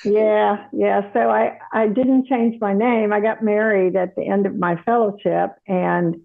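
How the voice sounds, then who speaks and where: A woman, a retired doctor and interview guest who tells her story in a measured way with light laughs. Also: medium pitch, steady tone, vocal fry. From South Carolina, USA. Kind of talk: casual interview chat. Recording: tinny phone-like line.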